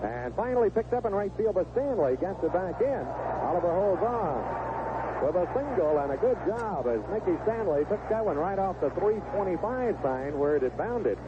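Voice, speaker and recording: A man's voice: high-pitched, big pitch swings, moderate pace. Male baseball announcer on noisy vintage radio. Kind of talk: live sports commentary. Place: stadium broadcast booth. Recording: narrow, noisy 1970s AM radio broadcast.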